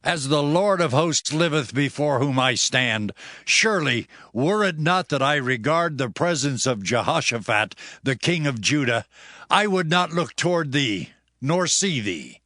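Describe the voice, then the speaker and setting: An actor playing a stern prophet, declaiming solemnly in a dramatized scripture production. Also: male voice, fairly high pitch, expressive, bright, forceful tone, no room sound, clean studio sound. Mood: stern, rebuking. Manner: solemn, declamatory, drawn-out phrasing. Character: stern male prophet delivering divine commands.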